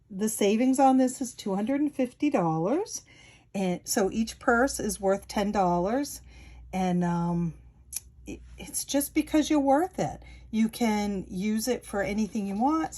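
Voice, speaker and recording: A woman's voice: medium pitch, a little mellow. A woman in her sixties with a New England accent, chatting casually to camera at home with many fillers. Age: 60s. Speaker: vlogger and Etsy seller. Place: home, at a wooden table.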